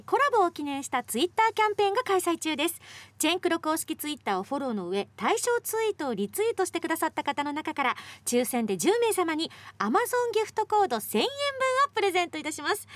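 A woman's voice: very high-pitched, sing-song, quick pace, dry recording. A woman co-hosting a radio show, chatting casually and reading announcements.

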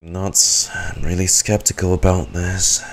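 A man's voice: low voice, fairly even intonation, a little slow, noisy background. A young man giving live, informal and exclamatory gameplay reaction commentary as a streamer at home. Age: young adult. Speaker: game streamer. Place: indoor room at home. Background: game music.

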